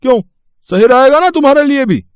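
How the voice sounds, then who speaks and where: A man's voice: very high voice, lively intonation, brisk, no echo. A man playing a talkative bakery owner in a dramatised moral story, tagging his remarks with little questions. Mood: hearty, inquisitive. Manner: talkative, adds tag questions. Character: brisk, chatty bakery owner, male boss.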